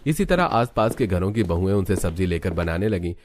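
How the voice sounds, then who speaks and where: A man's voice: low-pitched, sing-song, fairly quick, a little mellow, slight background noise. A man narrating a scripted cartoon family story as a storyteller.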